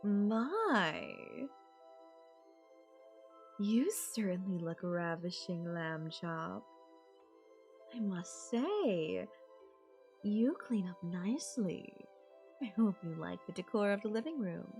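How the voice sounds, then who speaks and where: A woman performs a rich, domineering female chief executive for an audio roleplay. Her voice sits at a medium pitch for a woman. She speaks formally and imperiously, with cold condescension, as someone used to being obeyed. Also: lively intonation, takes it slowly, a little mellow, faint music behind. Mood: approving, possessive. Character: controlling, haughty, wealthy female CEO, coldly condescending. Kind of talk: ASMR audio roleplay acting.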